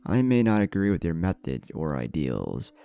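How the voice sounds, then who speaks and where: A man voicing a sly, calculating old council elder with measured, formal speech in a fan story. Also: deep voice, very animated, creaky, dark tone, smooth, exaggerated voice. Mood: measured, approving. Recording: background music under voice.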